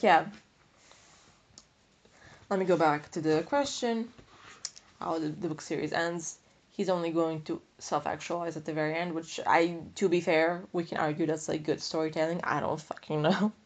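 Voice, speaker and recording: A woman with a slightly low voice talks casually, as if working out her opinions on the spot. She rambles and doubles back, using informal slang and swearing freely. The style is that of an unscripted book review podcast. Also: a little mellow. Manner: rambling, opinionated, thinking aloud.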